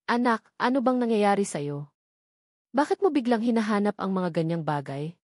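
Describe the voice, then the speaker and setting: A woman's voice: medium pitch, animated, moderate pace, darkish tone, dry recording, studio quality, natural voice. A woman narrator voicing an older Filipino mother from the provinces, affectionate but guarded and questioning, in an audio story. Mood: guarded, concerned.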